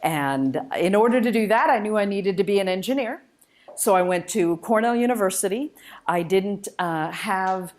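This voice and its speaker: A woman giving an informal public lecture, conversational, enthusiastic and emphatic as she shares personal stories as a guest speaker. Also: on the low side. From United States and Malaysia, born in India. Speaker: guest speaker, spacecraft engineer.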